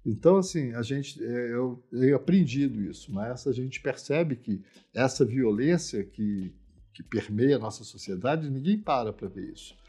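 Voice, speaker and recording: A man's voice: mid-range voice, expressive, normal speed, mellow, slightly rough. A man with a Brazilian accent from Minas Gerais, explaining social issues informally in a reflective, emphatic way, often adding tag questions.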